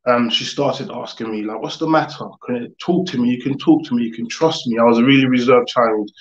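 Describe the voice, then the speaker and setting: A young man telling his story as an interview guest, in a casual, reflective way with halting phrasing. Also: mid-range voice, a little hiss or hum. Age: young adult. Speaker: guest, music producer. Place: living room, video call. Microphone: video call. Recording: echoey room, dull video-call audio.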